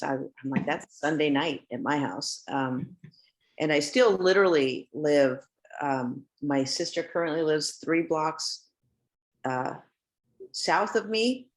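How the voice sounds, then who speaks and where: Woman judge with a very low voice, chatty and animated, telling family anecdotes in a webinar conversation. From United States. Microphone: video call.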